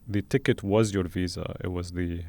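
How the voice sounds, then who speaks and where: A man hosting a conversational interview, speaking in a thoughtful, halting way as he frames questions. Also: deep voice, steady tone, mellow. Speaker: podcast host and interviewer.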